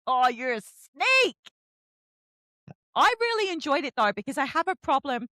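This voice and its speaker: Animated, high-voiced woman. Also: measured pace, dry recording, studio quality. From Kent, England. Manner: effusive, joking. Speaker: book podcast host interviewing an author. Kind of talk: casual, chatty interview.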